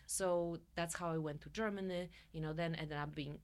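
A middle-aged woman with a Polish accent talks in a fairly low register. She is chatty and effusive, answering casually as a guest in an interview. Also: steady tone, normal speed. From Poland. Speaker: podcast guest, musician and coach.

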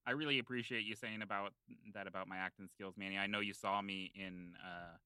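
A man co-hosting a podcast, chatting casually in a halting way and repeating himself. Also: low voice, steady tone, pushed and bright, clear, resonant tone, no room sound. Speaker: podcast co-host in character as a fire chief. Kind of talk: casual improvised chat.

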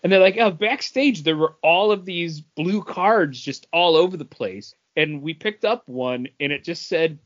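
A man's voice: a little high, no echo, dull audio. A man co-hosting a podcast in casual chat, telling anecdotes and acting out little exchanges as he goes. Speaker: podcast co-host.

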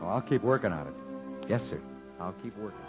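A man acting a quiet, proud, plainspoken convict inventor who is polite but determined, in a 1950s radio drama. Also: lowish voice, animated, fast talker, music in the background, close to an everyday voice. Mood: modest, wry. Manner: plainspoken, understated, earnest. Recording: muffled 1950s radio broadcast.

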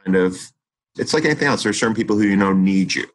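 A man in his forties speaks in a very low, deep voice. He talks earnestly and reflectively about his work as a teacher, often restarting his thoughts mid-sentence. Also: animated, racing. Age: 40s. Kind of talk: interview conversation.

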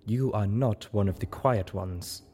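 A man voicing a calm, observant female zebra in an audiobook story, speaking frankly in measured, formal phrases. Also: on the low side, slightly rough. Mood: dry teasing.

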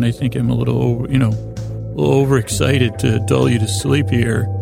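A man with a gravelly voice, hosting a bedtime podcast in a meandering, halting monologue that often trails off mid-thought. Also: mid-range voice. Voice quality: gravelly, creaky. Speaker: podcast host. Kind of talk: rambling bedtime-story monologue.

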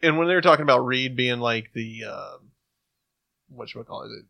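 Playful man with a bright, dry sound. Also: mid-range voice, normal speed, gravelly, no echo. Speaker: podcast co-host. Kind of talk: casual banter and review chat.

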